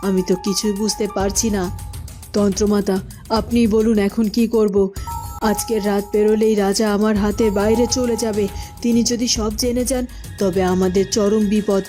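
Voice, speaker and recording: Woman as an evil queen, cartoon, mid-pitched, desperate, scheming, urgent, loud music bed. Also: steady tone, moderate pace, close to an everyday voice. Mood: panicked, scheming. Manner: anxious, urgent appeals. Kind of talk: cartoon fairy-tale voice acting.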